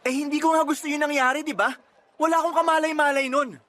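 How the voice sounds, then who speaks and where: A man's voice: extremely high pitch, fairly even intonation, fast talker, pushed and bright, a little hiss or hum. A man playing a reluctant, frightened young man facing unplanned fatherhood in a Filipino radio drama, hesitant and defensive. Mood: shocked, defensive. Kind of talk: radio drama acting in Tagalog.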